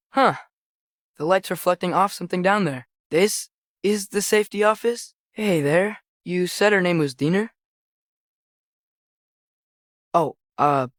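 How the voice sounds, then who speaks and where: A man's voice: high voice, fairly bright tone, no room sound, heavily acted. A young male actor voicing an earnest, curious teenage boy adventurer in a video game, tentative but eager in a naturalistic style, recorded dry and close.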